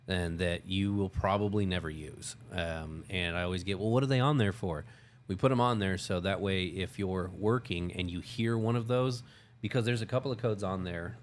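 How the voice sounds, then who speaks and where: A man with a very deep voice talks informally as a co-host on a workplace show. He explains procedures in an unscripted, conversational way. There is clear noise and room ambience behind him. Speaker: co-host, security company lieutenant. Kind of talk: casual unscripted work talk show.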